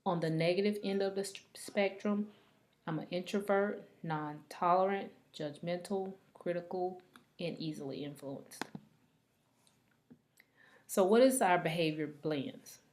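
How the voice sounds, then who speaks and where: A woman reading a scripted presentation aloud like a student, in a halting, deliberate manner. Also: lowish voice, a little mellow.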